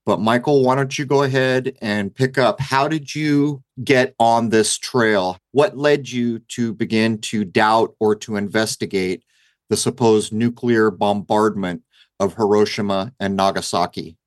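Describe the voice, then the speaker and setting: Radio host guy, lowish voice, brisk, dry sound. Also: steady tone, dry recording. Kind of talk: talk radio interview.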